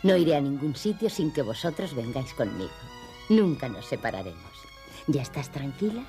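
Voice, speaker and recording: Soothing cartoon mama bear, low for a woman, tender, lilting intonation, faint music. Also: very animated, normal speed, mellow, exaggerated voice. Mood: tender, reassuring. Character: gentle, reassuring mother bear. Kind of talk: dubbed cartoon acting.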